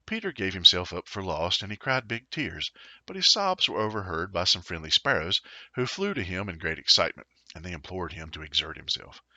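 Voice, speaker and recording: Male storyteller for a children's tale: low pitch, very bright and forceful, playful dramatic emphasis. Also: very animated, fairly quick, no room sound, dull audio. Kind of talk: expressive children's storybook narration.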